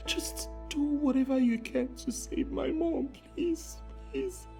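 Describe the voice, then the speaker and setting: A man's voice: high voice, lively intonation, normal speed, faint music behind. A man playing a scammer who fakes an American voice on a call, begging and stammering. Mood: pleading. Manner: pleading, stammering, hushed. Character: male scammer faking an American accent on a call.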